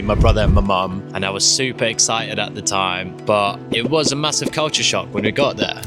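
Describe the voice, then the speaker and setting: Young South African guy, low-pitched, candid vlog narration, slight stutter, guitar music underneath. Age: young adult. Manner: candid, casual, with swearing. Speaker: vlogger. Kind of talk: reflective personal narration.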